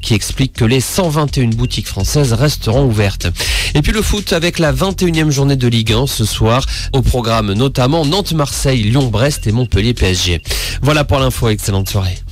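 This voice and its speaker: A man reads the news for radio. His voice is of medium pitch. The delivery is scripted, in the style of a news bulletin. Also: expressive, brisk. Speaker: radio newsreader.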